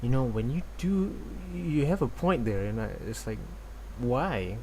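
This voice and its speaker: A young man from Malaysia giving casual live gameplay commentary, thinking aloud in a halting way. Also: medium pitch, big pitch swings, dark tone, a little hiss or hum. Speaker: game streamer. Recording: game music behind the voice. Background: game music.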